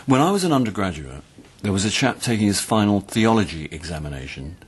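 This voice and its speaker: An actor playing a wry, patient middle-aged university tutor in a radio play, with an English accent and a measured, donnish, sometimes hesitant delivery. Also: male voice, fairly low pitch, very animated, breathy edge. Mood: patient, instructive.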